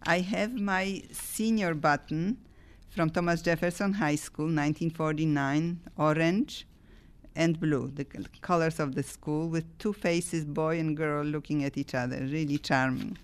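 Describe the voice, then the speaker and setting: A woman's voice: deep voice, measured pace, smooth. An older woman from Poland, recalling her youth in an oral history interview, her voice scratchy from a cold. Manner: reflective, animated when recalling youth.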